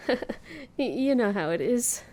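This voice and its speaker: A woman playing a sardonic, flustered young woman in a comedy fantasy audio drama, with dry, halting delivery. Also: fairly high pitch, expressive, darkish tone. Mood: awkward, subdued.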